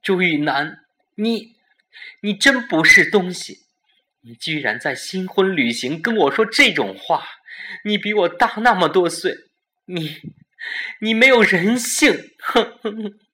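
A man's voice: sits high, animated, slow, background noise, voice pushed up. A man voicing an indignant, tearful young wife in her twenties, pleading and accusing, in a Chinese audio drama. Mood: furious, tearful.

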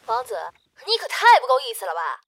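High, bright, animated young woman best friend. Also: fairly quick, no room sound, heavily acted. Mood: indignant. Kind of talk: modern audio drama acting.

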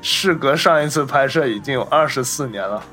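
A man's voice: mid-range voice, fairly quick, pushed and bright. Male podcast co-host over music. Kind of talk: casual film discussion.

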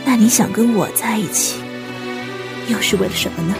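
An actress playing a composed, ambitious young hotel cook in a Mandarin radio drama, measured and coolly cynical. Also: female voice, medium pitch, vocal fry, over music, close to an everyday voice. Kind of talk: Mandarin audio drama acting with narration.

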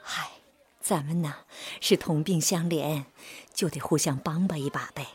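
Tender, slow-speaking devoted mother. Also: low voice, fairly even intonation, a little mellow, breathy edge, full character voice. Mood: gentle, earnest. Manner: emotive, tender, pleading. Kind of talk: radio drama acting.

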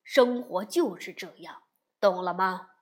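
A woman voicing a grown male bear father in a slow storybook reading, lowering her voice for him. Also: lightly acted. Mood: serious.

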